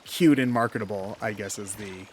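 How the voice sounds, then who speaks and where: A man's voice: lowish voice, moderate pace, slightly rough. A man giving casual video game commentary, talking in a hesitant, rambling way. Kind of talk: casual gameplay commentary chat.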